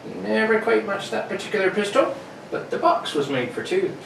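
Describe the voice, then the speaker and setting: A man's voice: high-pitched, sing-song, fairly quick, gravelly, full character voice. A man playing a deferential local police sergeant, talking colloquially in a radio play. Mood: confiding. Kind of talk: amateur radio-play acting. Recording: roomy, distant, noisy live recording.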